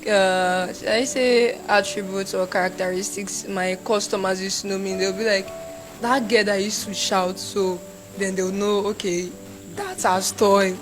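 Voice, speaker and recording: A young Nigerian woman, a university student, talking casually in an interview and acting out shouted quotes. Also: mid-range voice, unhurried, over music, poor audio. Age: young adult. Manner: animated, acts out shouted quotes.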